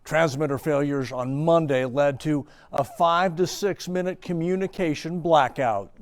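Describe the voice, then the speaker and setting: Higher-pitched American male news reporter. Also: measured pace. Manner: emphatic, clipped sentence fragments. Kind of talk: scripted broadcast news report.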